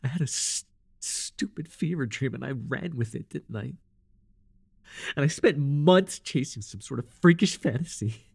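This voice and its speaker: A man playing a fanatical, desperate cult leader devoted to a dark master, theatrical and intense, in an immersive audio roleplay. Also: medium pitch, expressive, measured pace, gravelly, husky, heavily acted. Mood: shaken, despairing. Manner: theatrical, intense, stammering when shaken.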